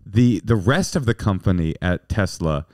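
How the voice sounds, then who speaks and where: A man's voice: sits low, moderate pace, dry recording, studio quality. A man co-hosting a podcast, chatting casually and jokingly, often acting out what other people said. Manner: jokey, animated, acts out quoted voices. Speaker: podcast co-host. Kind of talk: casual banter.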